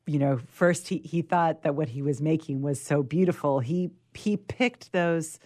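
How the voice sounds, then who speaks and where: A woman's voice: deep voice, a little slow, mellow. A woman co-presenting a casual literary discussion, earnest and emphatic, rephrasing as she goes. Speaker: co-presenter and reader.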